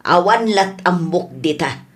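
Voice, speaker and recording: A lowish-voiced older mother, scolding with big swings in pitch. The delivery is Ilocano radio drama, with an Ilocano accent. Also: lively intonation, a little slow.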